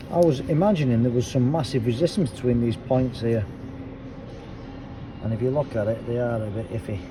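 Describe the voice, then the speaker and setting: A hoarse, middle-aged mechanic talks his way through a repair in a casual, chatty way, thinking aloud and letting his phrases drop off quietly. His voice sits at an ordinary male pitch. Workshop noise and vehicle sounds hum clearly behind him. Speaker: car mechanic, auto electrician. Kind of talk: casual workshop commentary while fault finding.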